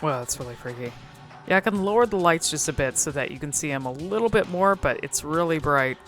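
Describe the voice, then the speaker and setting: A woman's voice: low-pitched, expressive, a little fast, soft background music. A woman hosting casual, unscripted game commentary, musing and thinking aloud in a hesitant way. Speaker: video host exploring game cutscenes.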